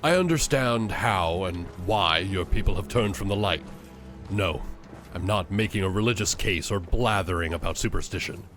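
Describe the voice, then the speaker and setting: A man playing a proud, zealous witch hunter in a fantasy audio drama, measured, formal and persuasive. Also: low-pitched, a bit bright, faint music behind. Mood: earnest, insistent.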